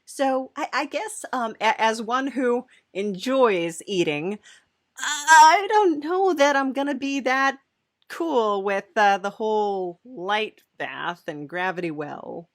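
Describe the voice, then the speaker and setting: Female podcast host, fairly high voice, unhurried and chatty, playful comedy interviewing, close dry sound. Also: dry recording. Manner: halting, giggly, chatty.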